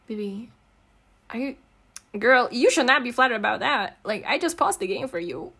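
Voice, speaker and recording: A woman playing a giggly, teasing, affectionate girlfriend in an intimate ASMR roleplay, non-native in English, with soft, casual, rambling talk. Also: on the high side, lively intonation, normal speed, slightly rough, exaggerated voice. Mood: fond, teasing. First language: not English. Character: giggly, teasing, affectionate gamer girlfriend comforting her partner.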